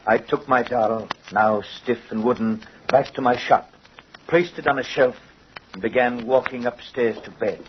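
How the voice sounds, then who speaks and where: A man's voice: medium pitch, unhurried, natural voice. Theatrical, sinister doll-maker in vintage radio horror, acted by a man. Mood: brooding. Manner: theatrical, dramatic, sneering. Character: cruel, sardonic doll-maker father confessing to murder. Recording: muffled, noisy old radio recording.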